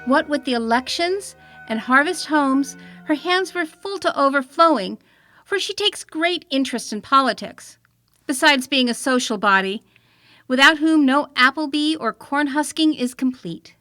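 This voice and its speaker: A woman reading a story aloud as expressive, scripted storybook narration. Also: sits high, moderate pace, no room sound, clean studio sound, soft background music. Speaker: story reader.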